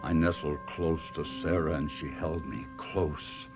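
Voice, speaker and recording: An actor playing an anguished stage performer and husband in an old-time radio drama, husky and theatrical. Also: male voice, rumbling low, fairly even intonation, unhurried, over music. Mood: haunted narration. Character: despairing, tormented middle-aged stage ventriloquist husband. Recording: muffled vintage radio drama recording.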